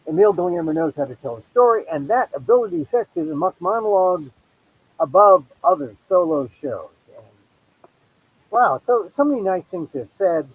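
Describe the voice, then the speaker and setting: A middle-aged Filipino American man hosting his own podcast, chatting casually with self-deprecating asides and quick restarts. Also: sits high, sing-song, creaky, breathy edge, rough recording. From United States. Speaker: podcast host. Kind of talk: casual solo monologue promoting his show.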